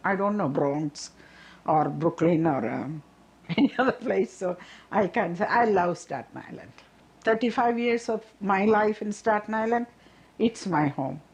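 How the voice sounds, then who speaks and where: A woman's voice: mid-range voice, a little slow, a little mellow. Older Indian-accented woman, plain-spoken. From south India. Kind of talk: personal testimony in a documentary.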